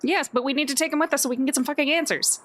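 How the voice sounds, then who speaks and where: A woman's voice: high voice, racing, a bit bright. A woman talking casually as a player in a tabletop role-playing game. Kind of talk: casual chat.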